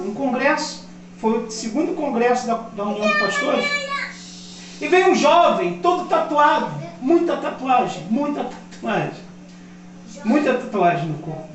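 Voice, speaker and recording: A man in his fifties, a pastor from Brazil, preaching a sermon that swells louder on key points. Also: very high voice. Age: 50s. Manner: impassioned preaching, rising loud on key points. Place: church. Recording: echoey church hall, mic at a distance. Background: congregation responding.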